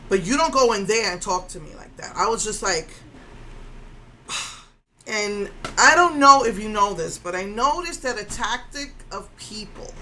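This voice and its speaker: A woman's voice: medium pitch, sharp tone, a little hiss or hum. Indignant woman with a strong voice. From Puerto Rico. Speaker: vlogger. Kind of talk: casual storytelling rant.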